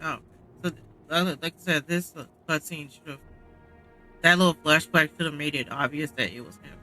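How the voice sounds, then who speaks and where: A man giving casual gaming commentary in a halting, musing way. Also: fairly high pitch, pushed and bright, faint music behind, poor audio. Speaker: gaming streamer.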